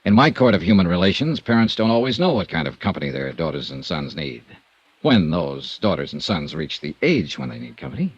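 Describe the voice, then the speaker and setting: Give the cool investigator of an old radio drama. He is wry and understated, with a lively, swinging delivery and a husky low voice. The sound is a dull, dry vintage broadcast recording. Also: no room sound, full character voice. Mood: dry, easygoing. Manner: dry, understated, measured first-person narration and talk. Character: cool, wry male freelance insurance investigator. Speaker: insurance investigator, narrator and lead. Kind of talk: 1950s radio detective drama acting.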